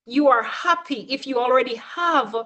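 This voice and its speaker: A woman's voice: high voice, moderate pace, bright, forceful tone. A woman coach giving a motivational teaching talk, speaking emphatically and often checking in with her listeners.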